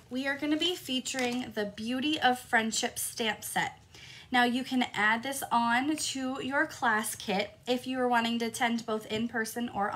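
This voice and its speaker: A woman in her thirties hosting a live crafting tutorial from her home craft room, upbeat and a little hoarse, greeting viewers by name. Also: a little high, a bit bright. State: slightly hoarse, recovering from lost voice. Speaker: crafting demonstrator and host.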